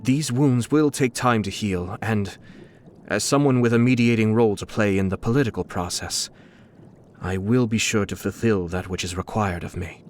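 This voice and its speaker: A man voicing a refined, suave young nobleman and clan head in a video game, coolly shrewd, with polished, measured, formal diction. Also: fairly low pitch, darkish tone, a little hiss or hum, close to an everyday voice. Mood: composed, earnest. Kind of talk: scripted video game character dialogue. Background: background music.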